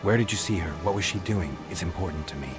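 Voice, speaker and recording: A man playing a stoic, gruff monster hunter in a video game, speaking in terse, restrained, gravelly lines. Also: low-pitched, quick pace, loud music behind the voice, lightly acted. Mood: curious, dry. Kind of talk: video game cutscene acting.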